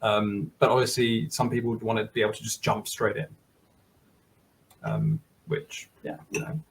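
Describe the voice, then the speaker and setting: A man's voice: lowish voice, big pitch swings, moderate pace, gravelly, a little hiss or hum, poor audio. Give me a chatty German man on a video call, laughing often and restarting his sentences. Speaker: software developer, guest. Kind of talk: casual interview talk.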